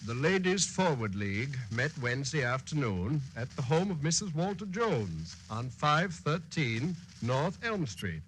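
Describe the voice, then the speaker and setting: A pompous, bright-voiced radio orator. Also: male voice, medium pitch, big pitch swings, a little slow, hardly any pauses. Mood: florid, proclaiming. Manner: florid, declamatory reading. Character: grandiose philosopher and small-town newspaper reader, pompous. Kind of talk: 1940s radio drama acting. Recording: noisy old 1940s radio recording.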